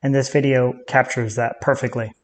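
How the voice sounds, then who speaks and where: An American military veteran hosting a reaction video, speaking in a direct, conversational way. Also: male voice, medium pitch, flat delivery, moderate pace. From United States.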